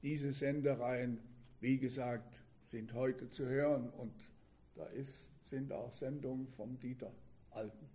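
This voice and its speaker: Elderly male preacher, eighties. Unhurried, measured delivery in halting phrases, soft-edged warm tone, reflective personal address to a congregation. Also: mid-range voice, steady tone, a little hiss or hum. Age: 80s. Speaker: preacher, former religious radio broadcaster. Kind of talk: sermon opening with personal reminiscences to a congregation.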